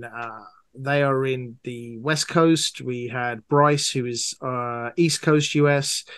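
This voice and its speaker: A man's voice: mid-range voice, a bit bright, clean tone, dry recording, studio quality. A man answering interview questions as a guest audio drama producer, talking conversationally and with enthusiasm.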